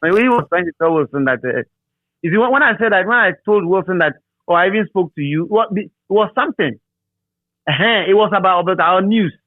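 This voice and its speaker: A man's voice: sits high, expressive, a little fast, no echo. A middle-aged man with a Ghanaian accent, reminiscing in a casual interview and often restating phrases for emphasis. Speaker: interviewee, radio DJ. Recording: thin, phone-like narrow-band line.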